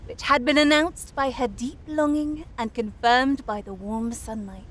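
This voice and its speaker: A woman narrating a fairy tale as an audiobook reader, expressive, careful and measured. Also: sits high, low-quality recording.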